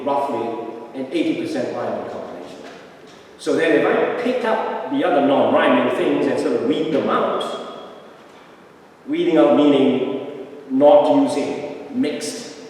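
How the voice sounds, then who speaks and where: A man's voice: medium pitch, darkish tone. Cantonese-speaking man lecturing in echoey hall. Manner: hesitant, many fillers and repeated words, tag "right?". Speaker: academic lecturer. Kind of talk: academic talk. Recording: echoey hall, distant mic, faint noise.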